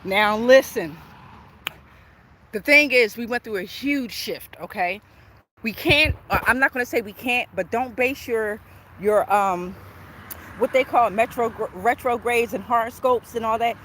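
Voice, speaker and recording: A woman's voice: a little high, unhurried, fairly bright tone. A woman hosting a casual livestream on a phone while out walking, informal, repeating her phrases and checking in with her followers. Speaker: livestream host and spiritual tarot reader. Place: outdoors on a walk near traffic. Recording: outdoor street and traffic noise.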